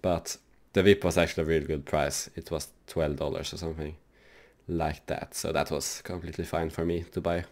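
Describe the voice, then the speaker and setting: Low-voiced man rambling through a tutorial. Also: flat delivery, a little fast, a little mellow. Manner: rambling, loose, many fillers. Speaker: gaming channel host. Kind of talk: casual software tutorial.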